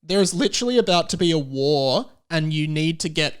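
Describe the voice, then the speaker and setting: A man's voice: on the high side, lively intonation, fairly quick, smooth. A man hosting a podcast in a casual, comedic, chatty style. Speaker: podcast host and quizmaster.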